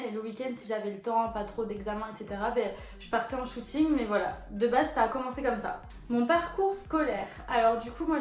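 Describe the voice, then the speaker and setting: A woman in her twenties, a photo model, chatting casually as she answers viewer questions, with lots of relaxed fillers. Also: fairly high pitch. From France. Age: 20s. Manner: chatty and relaxed, with many fillers like 'du coup' and 'voilà'. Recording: echoey room with faint background music.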